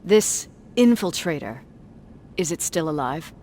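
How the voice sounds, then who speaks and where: Animated, commanding female officer. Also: deep voice, measured pace, slight background noise. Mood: stern questioning. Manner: authoritative, terse. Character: brisk, commanding female resistance military commander. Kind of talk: video game voice acting.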